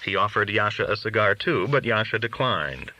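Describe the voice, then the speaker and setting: A man reading a literary story aloud as an audiobook narrator, with expressive, animated delivery. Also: on the low side, normal speed, poor audio. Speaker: audiobook reader.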